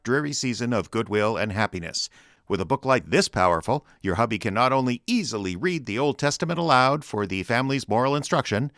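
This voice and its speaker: An actor playing a chipper old-time radio announcer, delivering sponsor pitches in an emphatic, mock-earnest sales style. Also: male voice, fairly bright tone, no room sound, clean studio sound.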